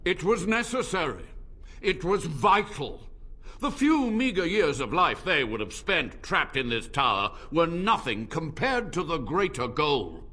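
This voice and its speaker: An arrogant ancient blood mage. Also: male voice, a little high, sing-song, bright, forceful tone, exaggerated voice. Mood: fervent. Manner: grandiose, theatrical, slow, with a mocking laugh. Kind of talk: game character dialogue.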